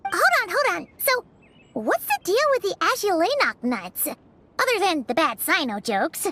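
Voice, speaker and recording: A woman voicing a tiny, excitable female fairy companion who talks in eager exclamations in a game cutscene. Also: very high-pitched, measured pace, heavily acted. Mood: curious. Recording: studio voice with faint music.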